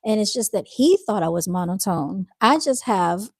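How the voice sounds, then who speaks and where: A woman with a soft-spoken, soothing voice who talks in a calm, unhurried way, hosting a casual live chat with her viewers. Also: mid-range voice, vocal fry, dry recording, clean studio sound. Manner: calm and unhurried, with fillers and restarts. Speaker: live-stream host reading viewer comments.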